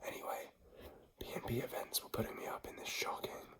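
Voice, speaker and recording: A man vlogging in a whisper because he has lost his voice, talking in a rambling way with many fillers and an American-sounding accent, indoors in a home. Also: brisk. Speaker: vlogger and singer-songwriter. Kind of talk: casual vlog chat.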